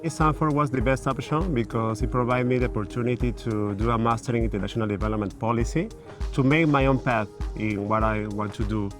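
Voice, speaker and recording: A man's voice: medium pitch, a little fast. A man from Peru, a graduate student speaking with enthusiasm and some repeated words. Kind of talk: testimonial interview. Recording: music bed under voice. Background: background music.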